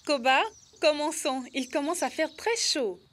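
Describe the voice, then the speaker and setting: A woman's voice: sits high, a little slow, fairly bright tone. A woman voicing an eager, helpful youngster who explains things in an educational cartoon. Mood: cheerful. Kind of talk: educational cartoon dub acting.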